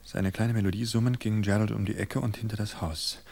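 A man reading a suspense story aloud in a measured, tense narration. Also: on the low side, fairly even intonation, vocal fry, a little mellow.

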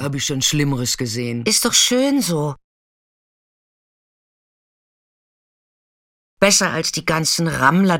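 Bright-voiced, frank, streetwise sex worker. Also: female voice, extremely low pitch, vocal fry, dry recording, studio quality, exaggerated voice. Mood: matter-of-fact caring, then playful, musing. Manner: colloquial, chatty, frank. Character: warm, chatty, streetwise female sex worker, caring and unshockable. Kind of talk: intimate radio drama monologue and narration.

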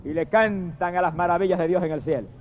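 A man with a high voice preaches a fervent sermon, calling out praise to God again and again between long, insistent appeals. The recording is dull and lo-fi, with the top end muffled. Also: quick pace, slight background noise. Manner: impassioned, repetitive exclamations of praise.

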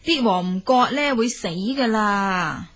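An actress playing a patient, nagging farmer's wife in a folk tale, pleading earnestly with a Cantonese accent. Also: female voice, medium pitch, animated, takes it slowly, fairly bright tone. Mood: urging, worried.

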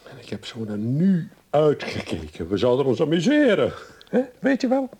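A man's voice: fairly high pitch, wildly swooping pitch, normal speed, dark tone, breathy edge, exaggerated voice. An actor playing a frustrated husband in a radio drama, talking to his wife in a chiding, hesitant way. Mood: reproachful. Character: frustrated husband of a troubled wife, impatient, evasive.

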